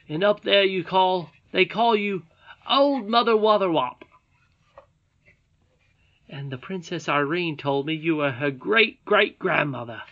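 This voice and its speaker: A woman's voice: fairly low pitch, voice pushed up. A woman voicing an earnest, wondering young boy miner while reading a storybook aloud. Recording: dull lo-fi recording.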